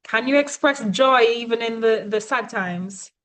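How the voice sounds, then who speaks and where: High-pitched woman giving a motivational talk. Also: a little slow, fairly bright tone. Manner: emphatic, often checks in with "right?". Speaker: motivational coach and presenter.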